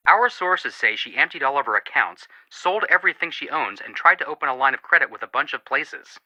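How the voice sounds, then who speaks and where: Young man, earnest sympathetic detective, very bright voice, lively swings in pitch, video game dialogue. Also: fairly quick, no room sound, rough recording. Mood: animated, sympathetic. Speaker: video game character voice actor. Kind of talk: scripted game phone dialogue.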